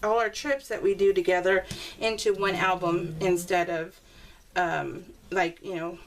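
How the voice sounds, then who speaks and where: A woman's voice: fairly low pitch, little pitch movement, measured pace. Rambling, chatty woman on a noisy recording. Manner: rambling, self-interrupting, giggly. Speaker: craft video maker showing scrapbook pages. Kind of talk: casual chatty voice-over, talking to viewers. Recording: clearly noisy home recording with clicks.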